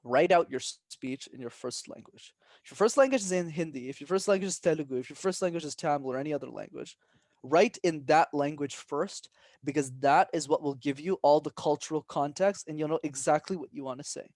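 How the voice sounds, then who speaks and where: Energetic young man, bright voice. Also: on the high side, brisk, no echo, clean studio sound. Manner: energetic, emphatic, often adds "right?". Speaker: guest, public speaking coach. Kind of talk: casual podcast interview.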